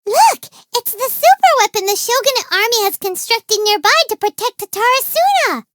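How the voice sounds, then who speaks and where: A woman voicing a small, childlike fairy companion in a video game, excitable, cheeky and easily offended, with a squeaky, melodramatic delivery. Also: normal speed, full character voice. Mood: eager, explaining. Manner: speaks of self in third person, exclamatory, melodramatic. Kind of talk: animated video game voice acting.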